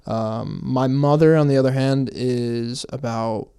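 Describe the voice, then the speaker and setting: A young man from New Jersey, a pro soccer player, chatting casually as a guest with relaxed, repetitive phrasing. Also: mid-range voice, a little slow, clean tone. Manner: relaxed, repeats phrases, says "man" and "you know". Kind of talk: casual interview chat.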